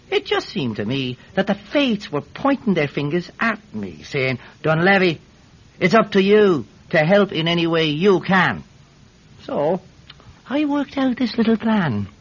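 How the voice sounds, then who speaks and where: A man's voice: high-pitched, measured pace, breathy edge, heavily acted. An actor playing a chatty, scheming Irish villager who tells his tale in a folksy, blustering way in a radio drama. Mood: animated storytelling. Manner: animated, folksy, stammering when flustered. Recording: dull, noisy radio-drama recording.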